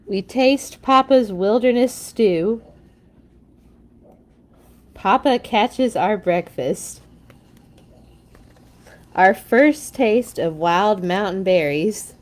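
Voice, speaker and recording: A woman's voice: medium pitch, extremely slow, pauses often, a little mellow. A woman hosting a children's read-along, reading stories expressively and dropping in casual asides.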